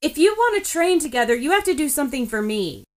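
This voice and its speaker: Female reader as earnest, argumentative teen girl, pitched high, insistent, for narrated fan fiction. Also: sing-song, quick pace. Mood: determined. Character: determined, argumentative teenage girl in combat training.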